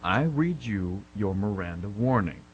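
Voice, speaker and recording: A man's voice: lowish voice, expressive, a little slow, muffled recording. Stern, sarcastic policeman played by a man. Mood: curt, sarcastic. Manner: curt, unimpressed, warning. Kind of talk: amateur radio play acting. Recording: hum and clear background noise.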